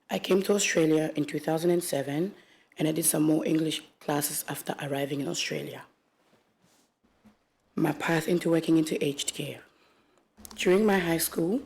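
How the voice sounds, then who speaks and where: A woman's voice: sits low, gravelly. Young woman reading her statement aloud. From Congo. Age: 20s. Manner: steady, careful reading with small restarts. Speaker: witness, aged care worker. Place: public hearing room.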